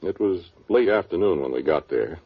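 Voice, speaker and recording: An actor playing a learned, world-weary archaeologist in an old radio drama, speaking in a dry, measured, scholarly way. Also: male voice, low-pitched, lively intonation. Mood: matter-of-fact. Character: learned, world-weary male archaeologist telling his eerie story. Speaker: actor and first-person narrator. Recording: muffled vintage radio recording.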